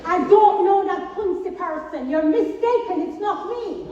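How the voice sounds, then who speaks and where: A woman's voice: very high voice, a little slow, background noise. A woman playing a haughty, affected traveller in a comic stage pantomime, indignant and overblown. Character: haughty traveller feigning not to know an old acquaintance. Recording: dull echoey hall from far off. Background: audience crowd.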